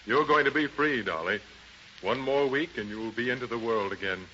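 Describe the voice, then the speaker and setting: An actor playing a brisk, kindly and authoritative prison warden, emphatic and reassuring in 1930s radio style. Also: male voice, mid-range voice, lo-fi sound, close to an everyday voice. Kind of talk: 1930s radio drama acting. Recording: bright, noisy, band-limited 1930s radio recording.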